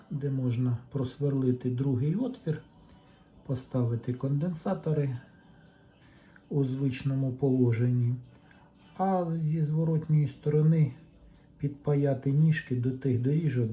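A man's voice: mid-range voice, mellow. A man talks viewers through a hands-on electronics repair, casually explaining each step without a script. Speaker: electronics repair hobbyist presenting his own video.

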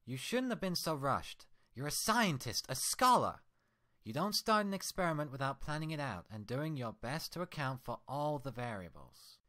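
A man's voice: fairly high pitch, very animated, normal speed, sharp tone, voice pushed up. A man voicing a furious young female unicorn scholar who scolds indignantly. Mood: angry scolding.

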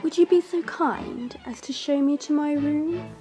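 A woman playing a gracious, flirtatious stage actress in a 1930s radio drama, with drawn-out, polite sweetness. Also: high voice, dark tone, soft background music. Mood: gracious, coy.

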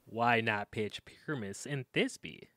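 An actor playing a young podcaster in a comedic audio drama, a teasing, pushy best friend who banters insistently and with emphasis. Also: male voice, medium pitch, slow, a bit bright, no room sound, clean studio sound. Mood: coaxing.

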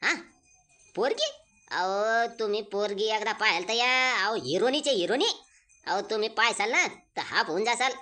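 A man's voice: high voice, lively intonation, normal speed, pushed and bright. A man with a rural Maharashtrian accent playing an eager, plain-spoken village matchmaker in a comic cartoon, persuasive and full of folksy exclamations. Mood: eager selling.

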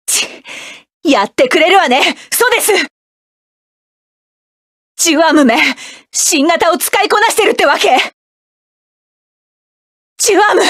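An actress voicing a proud, combative young woman mecha pilot, delivering sharp, commanding battle lines for a game. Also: very high-pitched, no echo, studio quality, exaggerated voice.

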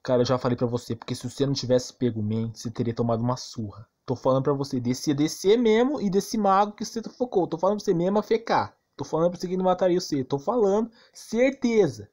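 A man's voice: on the high side, fast talker, hardly any pauses, darkish tone. A man with a Brazilian accent giving informal game commentary, arguing insistently and repeating himself with plenty of slang. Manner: argumentative, insistent, repetitive, slangy. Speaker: gaming YouTuber.

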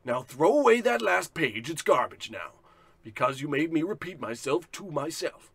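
Pompous male business magnate for a radio comedy: high, husky, wildly swooping, self-important bluster. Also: big pitch swings, moderate pace, fairly bright tone, dry recording, heavily acted. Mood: imperious. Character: pompous boastful male industrial tycoon, bullying boss. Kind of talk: parody of old radio drama acting.

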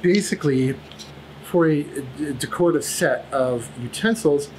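A man's voice: a little high, measured pace, noisy background. A man in his thirties hosting a casual woodworking vlog in his home shop, talking with many fillers and restarts, recorded by a camera a few feet away. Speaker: woodworking channel host.

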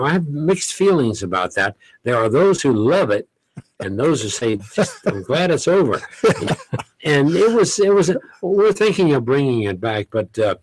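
An older man reminisces in a dry, close-miked radio studio. His speech is easy and anecdotal, in a middle register, dotted with soft chuckles. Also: mid-range voice, no echo, low-quality recording. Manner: chatty, anecdotal, chuckles often. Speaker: longtime radio show host, guest. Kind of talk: relaxed reminiscing studio talk. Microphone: studio mic, headphones.

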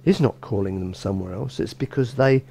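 A middle-aged man, a bishop, gives a relaxed sermon in a small church, talking conversationally with frequent restarts and "you know". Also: lowish voice, brisk, creaky, husky. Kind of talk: informal sermon.

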